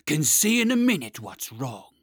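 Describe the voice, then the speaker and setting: A man voicing a huge iron-armored dragon that talks slowly, politely and slyly, with a spluttering voice. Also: a little high, very animated, breathy edge, no room sound, studio quality, pitched above the performer's own voice. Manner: slow and drawn out.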